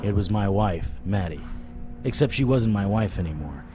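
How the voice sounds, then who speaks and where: A man's voice: lowish voice, animated, normal speed, noisy background, close to an everyday voice. An actor playing a sardonic vampire-hunting private eye, telling his story in a dramatic noir audio drama style, over music. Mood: tense. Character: hard-boiled, wisecracking male vampire-hunting private eye. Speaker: narrator and lead actor. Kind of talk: first-person noir audio drama narration. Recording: music bed under the voice.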